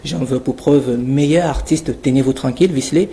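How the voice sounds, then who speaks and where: A man's voice: mid-range voice, moderate pace, creaky, warm tone, a little hiss or hum. A man with a Cameroonian accent reading a scripted portrait of a guest in a theatrical, declamatory manner. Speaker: radio columnist presenting a guest portrait.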